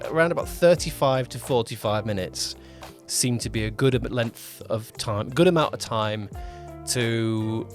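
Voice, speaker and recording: A man co-hosting a casual behind-the-scenes podcast as a producer, rambling and often rewording himself mid-sentence. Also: mid-range voice. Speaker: podcast co-host, audio drama producer-director.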